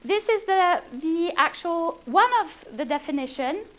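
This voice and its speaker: A woman with a French accent gives a chatty business presentation at a live event and keeps pulling the audience in with questions. Her voice sits very high. The recording is muffled and lo-fi. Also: expressive, measured pace. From France. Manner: chatty, engaging, asks the room questions.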